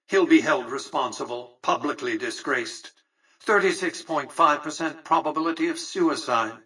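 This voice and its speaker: A cold, calculating game mastermind, with a forceful voice roughened by husk. He is precise, aloof and sure of himself, and sounds slightly away from the mic. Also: medium pitch, far from the mic, low-quality recording. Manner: precise, aloof, grandly measured. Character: cold, calculating, self-assured male mastermind. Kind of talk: video game character lines.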